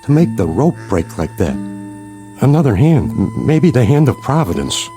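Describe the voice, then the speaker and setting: A man acting a bereaved, hesitant father in a radio drama, speaking in halting, searching phrases. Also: mid-range voice, sing-song, warm tone, faint music behind, lightly acted. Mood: awed.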